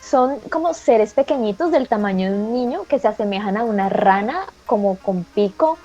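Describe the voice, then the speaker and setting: A woman with a Colombian accent, co-hosting an anime show and presenting a segment in a lively, playful way. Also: medium pitch, normal speed, dull audio, soft background music. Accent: Colombian Spanish. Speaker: co-host of anime talk show.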